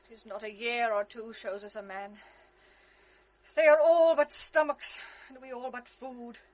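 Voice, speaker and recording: A woman's voice: fairly high pitch, fairly even intonation, a little slow, darkish tone, natural voice, poor audio. An actress playing a blunt, worldly lady's maid in a radio drama. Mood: wry. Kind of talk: 1980s radio drama acting.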